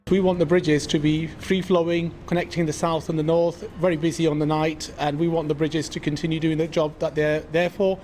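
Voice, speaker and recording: A man's voice: fairly high pitch, level tone, fairly quick, few pauses, a little mellow, faint music behind. A man giving an interview statement as a police spokesman, with traffic behind him.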